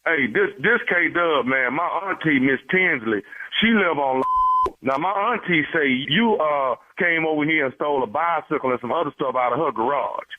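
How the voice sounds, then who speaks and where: A man's voice: fairly high pitch, normal speed, natural voice. A man playing an insistent prank caller on a narrow phone line, stammering and repeating himself as he accuses a stranger over a stolen bike. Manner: repetitive, stubborn, stammering phrases. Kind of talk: improvised prank phone call.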